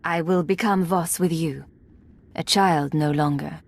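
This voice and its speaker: An actress playing a composed, resolute imperial agent in a video game, husky and measured in delivery. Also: female voice, low voice, fairly even intonation, exaggerated voice. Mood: gentle, resolute. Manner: measured, formal, controlled.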